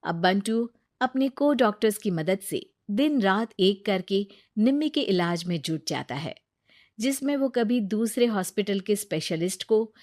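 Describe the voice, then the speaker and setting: A woman's voice: medium pitch, a little mellow, no echo, studio quality. A woman narrating a scripted moral story for a cartoon.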